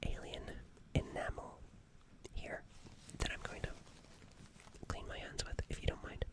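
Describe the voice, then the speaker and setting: A gentle alien medic, played by an ASMR performer speaks in a slow, breathy whisper. The delivery is halting and apologetic, with phrases softly repeated as in a careful bedside roleplay. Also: natural voice.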